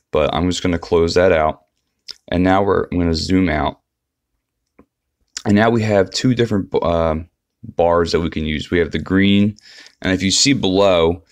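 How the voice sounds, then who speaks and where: A man's voice: sits low, smooth, no echo, clean studio sound. A man giving a casual, unscripted walkthrough of an app as a tutorial presenter.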